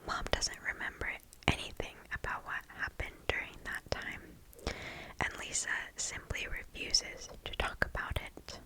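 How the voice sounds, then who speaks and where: Slow, close ASMR whisper. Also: rough recording. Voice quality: breathy whisper. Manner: slow, deliberate, hushed. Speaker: host reading stories aloud. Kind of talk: ASMR whispered story reading. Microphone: close microphone.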